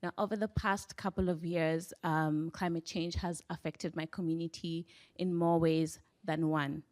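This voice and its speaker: A woman from western Kenya with a Kenyan accent, telling a personal story as a panelist in a measured way with pauses. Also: low-pitched, flat delivery, a little mellow.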